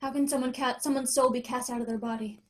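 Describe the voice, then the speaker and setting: A woman plays a shaken mother in a supernatural thriller read. Her voice sits a little high, and she presses with urgent, halting questions, determined to get answers. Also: fairly even intonation, darkish tone, lightly acted. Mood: incredulous. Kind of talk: screenplay table read acting.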